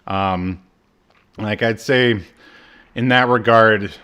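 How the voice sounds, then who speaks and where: A man's voice: fairly low pitch, fairly even intonation, slow pace, pushed and bright, clean tone. A man co-hosting a podcast, casually recapping a TV episode in a rambling way, with lots of ums and uhs. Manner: rambling, many ums and uhs, acts out characters in quotes. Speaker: podcast co-host.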